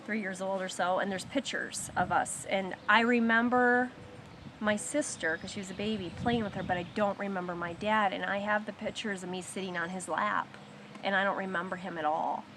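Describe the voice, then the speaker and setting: Halting, soft-spoken woman telling her story. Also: medium pitch, fairly even intonation, noisy background. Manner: halting and reflective, with pauses and restarts; slows down and softens on painful memories. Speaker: abuse survivor sharing her story. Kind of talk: personal testimony in a support circle.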